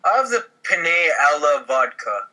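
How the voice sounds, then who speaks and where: A man playing a laid-back young mobster on a date in a comedy script, casual and halting. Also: on the high side, sing-song, sharp tone, voice pushed down, full character voice, low-quality recording. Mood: casual, sheepish.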